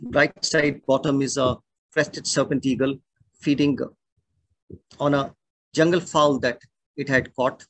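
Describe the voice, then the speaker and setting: A middle-aged man with an Indian accent, a naturalist giving a measured, explanatory talk over a video call. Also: mid-range voice, steady tone, fairly bright tone, no room sound, low-quality recording. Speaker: naturalist and birding guide. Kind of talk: online illustrated talk. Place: indoor room at home or office, on a video call. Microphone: video call microphone.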